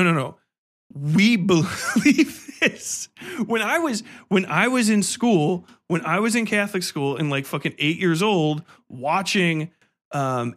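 Higher-voiced man, profane podcast rant. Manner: animated, sweary, exaggerated, hyperbolic. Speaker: podcast host. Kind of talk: casual, profane comic rant and game review.

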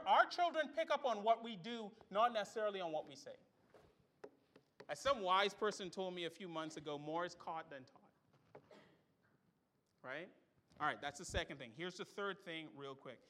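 A man's voice: high-pitched, a bit bright. A middle-aged man from the Bahamas, preaching a sermon to his church with emphatic rhetorical questions. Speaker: pastor. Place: church sanctuary.

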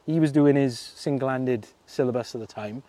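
A man with a South Wales Merthyr accent talks in a loose, easygoing interview. His voice is mellow and dark, and he keeps dropping in little laughs, fillers and restarts. The pitch sits in an ordinary middle range for a man. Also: normal speed. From Merthyr Tydfil, Wales. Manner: chatty, laughs often, many fillers and restarts. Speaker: fishing club secretary, guest. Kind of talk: casual interview chat.

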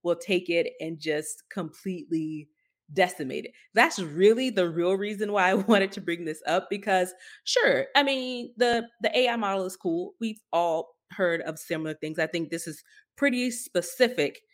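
A woman's voice: fairly low pitch, normal speed. A woman co-hosting a podcast, giving conversational, emphatic and skeptical commentary on tech news. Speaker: podcast co-host.

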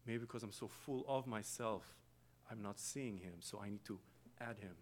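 A man from Israel with an Israeli accent, a native Hebrew speaker, giving a slow, reflective guest sermon in a church. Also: on the low side, slight background noise. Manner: slow, reflective, with long pauses and soft trailing phrases. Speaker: guest preacher. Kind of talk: meditative sermon with chanted Hebrew opening.

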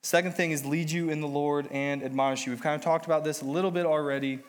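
A man's voice: on the high side, steady tone, fast. Man preaching with a head cold. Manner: conversational, self-deprecating teaching. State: has a cold, slightly nasal. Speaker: lay preacher. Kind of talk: sermon.

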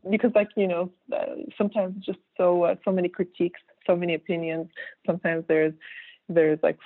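A woman's voice: fairly low pitch, vocal fry, breathy edge, no room sound. A woman in her thirties from Kazakhstan, a native Russian speaker, chatting casually as an interview guest, rambling with fillers and restarts and laughing easily. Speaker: guest artist. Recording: tinny phone-like line.

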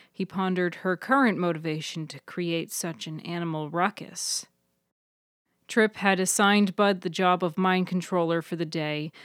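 A woman with a slightly low voice narrates a scripted story for a fiction podcast. Her phrasing is measured and clear, and the recording is dry and close. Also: dry recording.

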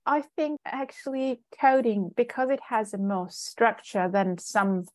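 A woman's voice: on the high side, unhurried, a little mellow, no room sound. A young adult woman, a native Azerbaijani speaker from Ukraine, speaking as an interview guest in casual conversation, hesitant with frequent fillers and restarts. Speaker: guest data scientist.

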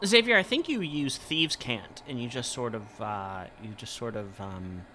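A man's voice: mid-range voice, expressive, moderate pace, bright, forceful tone, a little hiss or hum. A man chatting casually as a player in a tabletop role-playing game.

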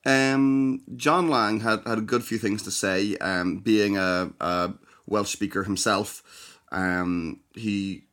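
A young man with a fairly low voice talks through his ideas in a relaxed podcast chat. He hesitates a lot, restarting sentences and filling gaps with ums. His delivery is careful and explanatory. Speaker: podcast co-host. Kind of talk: casual chat.

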